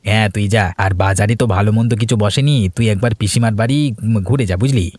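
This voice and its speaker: A man's voice: on the low side, quick pace, a little mellow, lightly acted. A man voicing a friendly, chatty village neighbour in a moral cartoon. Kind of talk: Bengali moral cartoon dubbing.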